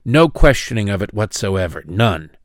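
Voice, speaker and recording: A man's voice: normal speed, no room sound, faint music behind. Sarcastic male political commentator, dry. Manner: mocking, sarcastic, animated pitch swings. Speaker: host.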